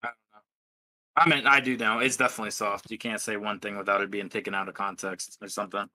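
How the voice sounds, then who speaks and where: A man's voice: fairly low pitch, little pitch movement, fast, sharp tone, no room sound, poor audio. A man in his early twenties chatting on a podcast, blunt and swearing freely. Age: 20s. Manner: blunt, profane. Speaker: podcast host. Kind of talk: casual chat.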